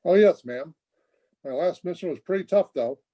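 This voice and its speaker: A man's voice: on the high side, expressive, normal speed, warm tone, dull audio, close to an everyday voice. A man playing an earnest, very polite twenty-year-old Air Force officer in an old-time radio comedy. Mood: proud, polite. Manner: courteous, earnest, wordy.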